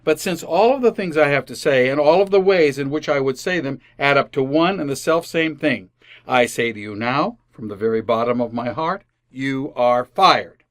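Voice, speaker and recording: A man's voice: medium pitch, lively intonation, normal speed, a bit bright, lightly acted. A man playing an exasperated, wordy family father in an old-time radio comedy, formal and sarcastic.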